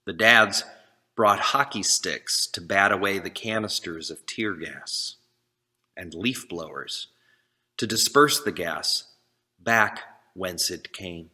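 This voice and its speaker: Slow-spoken man in a reverberant hall. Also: sits low, pushed and bright. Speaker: congregation service leader. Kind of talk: introducing and reading a poem aloud to a congregation. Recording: long reverberant hall echo.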